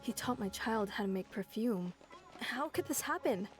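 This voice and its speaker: A woman's voice: on the high side, expressive, moderate pace, soft background music, close to an everyday voice. An actress playing a worried townswoman, a mother, in a video game. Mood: shocked, dismayed.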